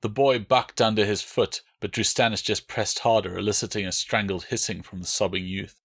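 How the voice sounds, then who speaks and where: A man's voice: on the low side, fairly even intonation, fairly quick, gravelly, breathy edge. A man reading his own novel as a dramatic, scripted audiobook narration.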